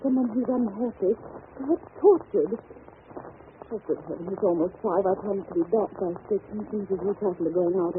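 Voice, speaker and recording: An actress playing an earnest, easily startled young governess in an old-time radio drama, with a breathless, polite, melodramatic delivery. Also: female voice, mid-range voice, fairly quick, close to an everyday voice. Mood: anxious, earnest. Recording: noisy, tinny vintage radio recording.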